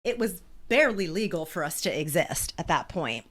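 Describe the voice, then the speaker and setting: A woman in her forties, an author talking as a guest in a thoughtful, measured way and laughing easily. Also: lowish voice, expressive. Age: 40s. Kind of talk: reflective interview conversation.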